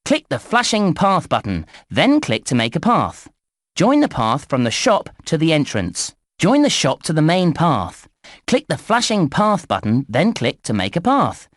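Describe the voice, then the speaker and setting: An actor playing a cheerful male park announcer, giving scripted narration and clear instructions to young players in a children's game. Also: fairly high pitch, huge pitch swings, a little slow, no room sound, studio quality. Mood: encouraging, helpful. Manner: upbeat presenter delivery, clear instructions.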